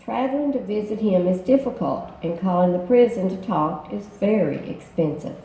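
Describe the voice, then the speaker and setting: Lady reading a promo explainer, soft dark tone, measured, echoey playback w some noise. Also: mid-range voice, lively intonation. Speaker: narrator of explainer video. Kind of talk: scripted promotional narration. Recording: roomy playback with background noise.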